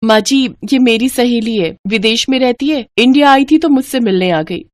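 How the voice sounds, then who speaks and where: Gentle, put-upon young bride for a moral story, played by a woman narrator, polite, pleading, slightly raised pitch. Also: normal speed, no echo, lightly acted. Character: meek, long-suffering young daughter-in-law.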